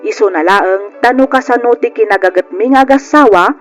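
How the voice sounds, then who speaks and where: A woman's voice: fairly high pitch, fairly quick, faint music behind, lightly acted. An actress playing a married farming mother narrating her life story in an Ilocano radio drama, with a Filipino accent. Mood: reflective.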